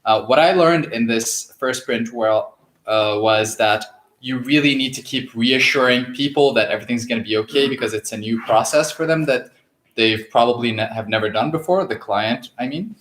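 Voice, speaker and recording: A man's voice: medium pitch, normal speed, fairly bright tone. A young man from Syria, a product designer, explaining his thoughts steadily in a casual panel. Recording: echoey room.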